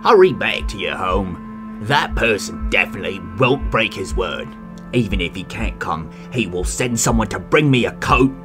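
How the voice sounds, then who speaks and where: A man voicing a poor old beggar in an animated fairy tale, humble and earnest, trusting and stubborn. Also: on the low side, moderate pace, close to an everyday voice. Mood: stubbornly trusting. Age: older. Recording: music under the voice.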